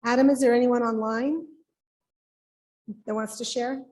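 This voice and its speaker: A woman's voice: a little high, steady tone, measured pace, darkish tone. A woman leading a service, giving brief prompts that invite people in the group to share.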